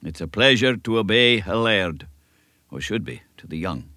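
A man's voice: on the low side, animated, moderate pace, pushed and bright, dry recording, clean studio sound. A man voicing an earnest, pious old country minister, speaking solemnly. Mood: admonishing. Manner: solemn, sermonizing.

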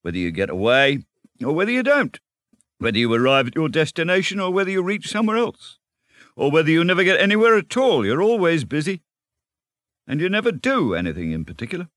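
A man's voice: deep voice, no echo, heavily acted. A man voicing a genial, dreamy water rat from a storybook, enthusiastic and easygoing about life on the river. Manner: enthusiastic, effusive. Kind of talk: storybook character reading.

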